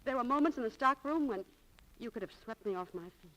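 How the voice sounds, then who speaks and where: Lively, swooping romantic young shopgirl. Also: on the high side, fast, rough recording. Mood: candid, confiding. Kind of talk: 1940s radio drama acting. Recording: vintage 1940s radio recording.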